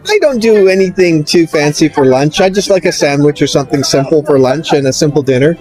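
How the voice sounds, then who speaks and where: Older man from Canada, a touch high-voiced, easygoing street interviewee, faint music behind. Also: a little fast, slightly rough, poor audio. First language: English. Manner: friendly, relaxed, repeats words when starting. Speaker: interviewee, lawyer. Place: outdoors on a city street.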